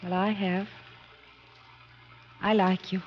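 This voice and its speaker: Actress as a flirtatious hostess, muffled vintage sound. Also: female voice, medium pitch, flat delivery, slow pace, close to an everyday voice. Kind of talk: 1940s radio drama acting. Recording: dull, slightly noisy old radio recording.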